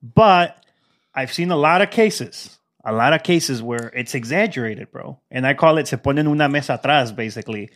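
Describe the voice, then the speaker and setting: A man's voice: medium pitch, moderate pace. Laid-back young guy on a podcast, bit stuffy, throws spanish into his english. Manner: relaxed, drops Spanish phrases into English. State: still congested, clearing throat. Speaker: podcast co-host. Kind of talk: casual podcast chat.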